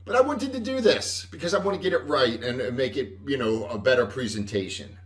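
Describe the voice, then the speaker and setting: A man hosting a casual livestream chat, rambling and correcting himself with frequent chuckles, with a loud voice. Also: mid-range voice, animated, a little fast, far from the mic. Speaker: host. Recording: echoey room, voice from afar.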